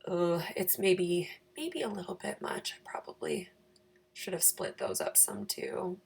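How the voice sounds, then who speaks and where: A woman with a raspy, croaky voice giving a chatty, self-deprecating, casual craft voice-over for her planner channel. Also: on the low side, wildly swooping pitch, normal speed, in a whisper.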